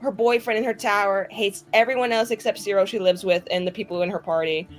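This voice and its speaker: A woman tabletop player chatting casually off-game, animated and crude, telling stories in quick run-ons over a remote call mic. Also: medium pitch, soft background music.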